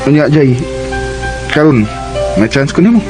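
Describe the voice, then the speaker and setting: Young male student, animated intonation, Cebuano accent, eager and bashful, radio drama, prominent music. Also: on the high side, unhurried. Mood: earnest pleading. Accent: Cebuano Filipino.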